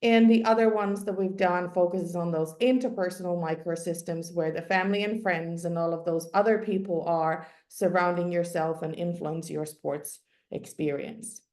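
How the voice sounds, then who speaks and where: A woman's voice: fairly low pitch, steady tone, normal speed, a little mellow. A woman whose first language is Finnish gives a sports psychology webinar talk, explaining step by step with frequent ums and restarts. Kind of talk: webinar presentation. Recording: roomy echo.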